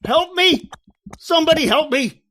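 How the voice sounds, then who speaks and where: A man's voice: extremely high pitch, animated, a little slow, pushed and bright, voice pushed up, heavily acted. A man playing a guilt-ridden, terrified doomed man, declaiming urgently and melodramatically in old-time radio horror style. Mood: frantic, guilty.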